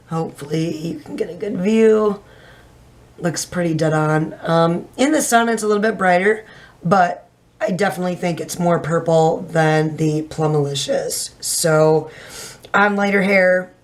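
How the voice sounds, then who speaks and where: Frank, slightly hoarse woman vlogger. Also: on the low side. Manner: frank and chatty, casual swearing. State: slightly hoarse from little sleep. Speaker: beauty vlogger. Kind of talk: casual product review to camera. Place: home room with shelves, indoors.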